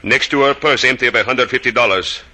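An actor playing a world-weary, drily menacing police inspector with a Spanish accent and formal, deadpan phrasing, in 1940s radio style. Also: male voice, mid-range voice, fast. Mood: accusing.

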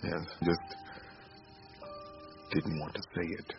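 A man's voice: sits low, completely flat delivery, slow pace, creaky, exaggerated voice. An actor playing an earnest, remorseful father and husband in a radio drama. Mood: solemn. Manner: earnest, sincere. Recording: muffled radio recording, loud music behind.

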